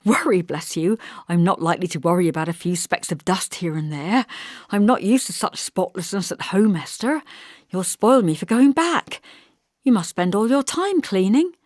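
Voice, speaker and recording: A woman voicing a sensible, concerned young woman in an audiobook, earnest and gently chiding. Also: mid-range voice, animated, normal speed, no room sound, clean studio sound, natural voice. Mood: bright, reassuring. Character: sensible, concerned young woman visiting her friend, reassuring.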